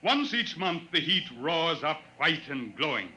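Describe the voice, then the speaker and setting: Piercingly bright, solemn alien tribesman. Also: a little high, big pitch swings, measured pace, heavily acted. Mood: solemn, reverent. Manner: formal, solemn, ceremonious phrasing. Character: proud, grave alien mountain tribesman, a potter. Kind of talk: 1950s radio drama acting. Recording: noisy vintage radio recording.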